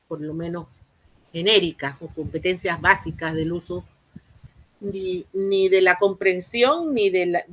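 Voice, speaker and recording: A woman giving a spoken lecture on education, explaining slowly with many fillers and restarts and addressing her listeners formally. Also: on the low side, fairly bright tone, low-quality recording. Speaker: university lecturer.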